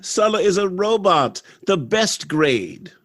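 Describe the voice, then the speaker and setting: A man's voice: high voice, very animated, slow pace, fairly bright tone, heavily acted. An actor playing a proud, unsentimental robot factory manager in an old-time radio drama, theatrical and confident as he explains things. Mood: insistent. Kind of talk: live recreation of 1930s radio drama.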